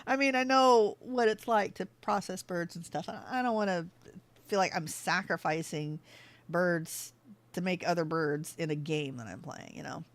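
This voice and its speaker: Woman, casual livestream chatter, easily sidetracked, low voice, dry close sound. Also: moderate pace, no echo. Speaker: game streamer. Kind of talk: casual livestream chat while playing.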